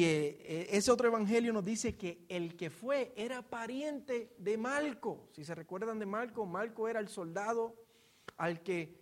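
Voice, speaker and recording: A man with a Puerto Rican accent preaching in an informal, storytelling way, explaining scripture and asking rhetorical questions. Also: high-pitched. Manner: informal, acts out quotes and asks rhetorical questions. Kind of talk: expository sermon, conversational storytelling.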